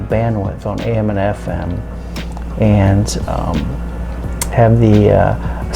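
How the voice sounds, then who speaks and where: A man giving a casual, unscripted product review as a hobbyist, hesitant and halting as he thinks aloud. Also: on the low side.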